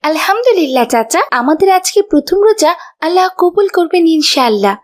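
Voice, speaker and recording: Woman doing an eager, squeaky-high little girl. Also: sing-song, normal speed. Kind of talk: children's cartoon voice acting.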